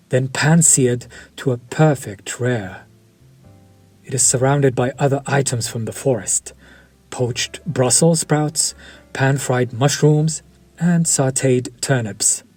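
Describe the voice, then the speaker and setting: An actor playing a courteous, quietly predatory vampire chef in an audio roleplay, polished, formal and flirtatious. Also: male voice, mid-range voice, takes it slowly, faint music behind. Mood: suave. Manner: polished, formal and flirtatious, lingering over words.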